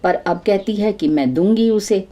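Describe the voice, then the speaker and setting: A woman's voice: mid-range voice, measured pace, dark tone, highs cut off, lightly acted. Woman as a wryly marvelling older merchant husband. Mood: pleased surprise.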